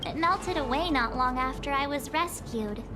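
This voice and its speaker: A woman voicing a bubbly, naive teenage girl space traveler in a video game, with a perky, informal, expressive delivery. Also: sits high, moderate pace, lightly acted. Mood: apologetic. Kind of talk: scripted video game dialogue. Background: background music.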